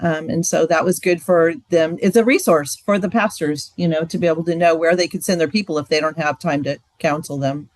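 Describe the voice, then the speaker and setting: A woman's voice: deep voice, steady tone, fairly quick, hardly any pauses, no echo. Chatty woman, dry close sound. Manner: chatty, loose, frequent fillers. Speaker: ministry trainer. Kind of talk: casual training talk.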